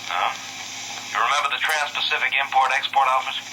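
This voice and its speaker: Sharp-voiced insurance agent on the phone. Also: male voice, medium pitch, brisk. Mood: businesslike, cautious. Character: businesslike male insurance agent handing out risky cases. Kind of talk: 1950s radio drama acting. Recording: noisy, sharp old radio phone call.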